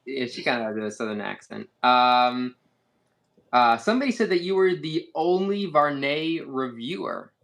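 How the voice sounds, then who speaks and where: A man's voice: mid-range voice, lively intonation, normal speed, creaky, a bit bright. A man reviewing sunglasses in a casual chat, talking in a hesitant way with lots of fillers and restarts.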